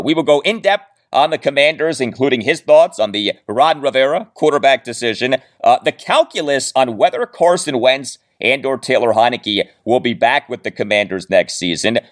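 A man hosting a solo sports podcast, talking through his rundown in an emphatic, showman-like way with dramatic stresses. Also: mid-range voice. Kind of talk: scripted solo sports podcast monologue with asides.

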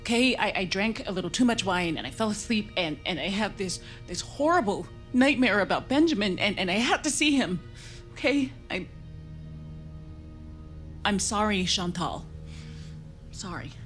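A woman's voice: mid-range voice, lively intonation, normal speed, pauses often, soft background music, close to an everyday voice. A woman playing a frightened, protective mother in an audio horror drama, speaking in urgent, broken, stammering phrases. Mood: apologetic. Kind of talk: naturalistic audio drama acting.

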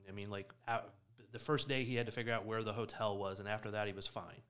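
A man's voice: fairly low pitch, fairly even intonation, fast talker, a bit bright, clear, resonant tone. A man in casual soccer discussion on a podcast, matter-of-fact and ready to argue his point. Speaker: sports podcast panelist.

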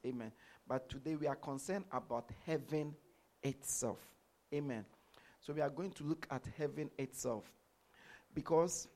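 A man's voice: a little high, gravelly, a little mellow. A man from Ghana preaching a sermon as pastor, saying amen after nearly every sentence.

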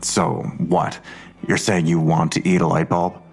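A man's voice: low voice, level tone, a little slow, husky, heavily acted. A man playing a weary, skeptical investigator, sardonic and dismissive, in a naturalistic audio drama. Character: Blossom, weary skeptical male investigator, a father.

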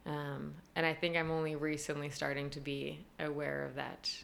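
Young woman talking low and loose, lots of um and like, laughing a lot. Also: little pitch movement, moderate pace, vocal fry, a bit bright, a little hiss or hum. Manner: chatty, many fillers, laughs often. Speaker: costume designer, guest. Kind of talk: casual conversational interview.

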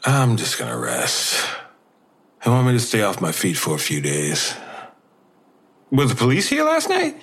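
An actor playing a groggy, injured, uneasy man in an audio drama, halting and husky. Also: mid-range voice, huge pitch swings, a bit bright, exaggerated voice. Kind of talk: naturalistic audio drama dialogue.

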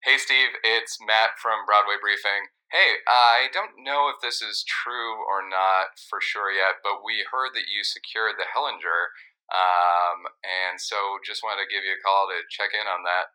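A theatre reporter in an audio comedy. He leaves a hesitant, eager voicemail in a low-ish voice with a very sharp edge. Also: steady tone, unhurried, poor audio.

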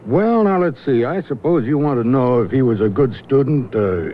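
An actor playing an eccentric, scornful photography instructor in a radio drama, pompous and deliberate. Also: male voice, medium pitch, animated, a little hiss or hum, lightly acted. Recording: thin phone-like old radio, faint music.

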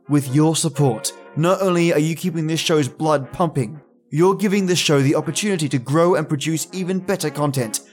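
A man hosting a podcast, giving a scripted introduction addressed to his listeners. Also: fairly high pitch. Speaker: podcast host. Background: music.

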